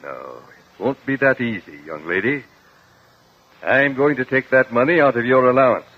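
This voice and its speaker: An actor playing a stern, principled older uncle and guardian, firm and admonishing, in 1950s radio drama style. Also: male voice, medium pitch, expressive, moderate pace, natural voice. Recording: dull lo-fi old radio recording.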